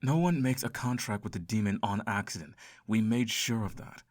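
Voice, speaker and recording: A low-voiced male incubus, acted out by a man, speaks close and dry with no room sound. He is a lust demon with a sardonic, seductive temper and a theatrical, long-suffering manner, made for an ASMR fantasy roleplay. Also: normal speed, no echo, natural voice. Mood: incredulous, exasperated. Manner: theatrical, sighing, dry sarcasm.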